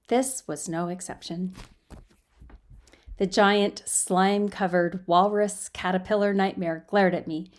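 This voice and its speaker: Casual female amateur storyteller, medium pitch, informal and unpolished, recorded on a built-in laptop mic at home. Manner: informal, self-deprecating, fidgety. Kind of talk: casual chatty intro and amateur storybook reading. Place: quiet guest room at home. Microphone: built-in laptop microphone.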